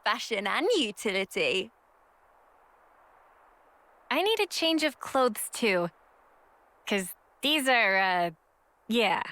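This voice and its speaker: A woman's voice: mid-range voice, animated, slow pace, pauses often, fairly bright tone, no echo. A woman playing a perky, curious young soldier in a video game, breezy and informal. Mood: casual.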